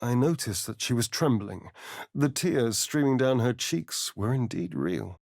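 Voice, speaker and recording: Sly vampire rogue, video game companion, theatrical drawl, very animated pitch, sardonic, vain, dry studio sound. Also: male voice, measured pace, creaky, no echo. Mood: hushed storytelling.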